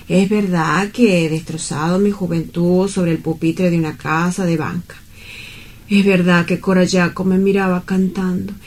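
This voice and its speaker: Casting: woman to play lovelorn young male clerk, radio play, soft dark tone, unhurried, poetic and earnest. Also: on the low side. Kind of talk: dramatic radio-play monologue.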